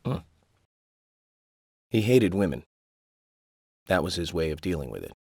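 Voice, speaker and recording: A man playing an eccentric, polite FBI agent in a video game, speaking in a measured, deadpan, blithely morbid way. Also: deep voice, slightly rough, dry recording, clean studio sound, full character voice. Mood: matter-of-fact, morbid. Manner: deadpan, measured, matter-of-fact about grisly things. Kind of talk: video game cutscene acting.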